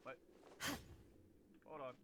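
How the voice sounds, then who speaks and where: A man giving casual gameplay commentary as a streamer, with offhand, reactive asides. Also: takes it slowly. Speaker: gaming streamer. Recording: very noisy, with game sounds and clicks.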